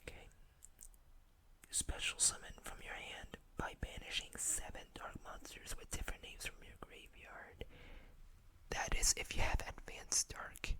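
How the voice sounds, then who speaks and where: A woman speaks entirely in a breathy whisper, as an ASMR host does. She takes her time, letting each phrase come slowly. Her manner is gentle and casual, like informal show-and-tell.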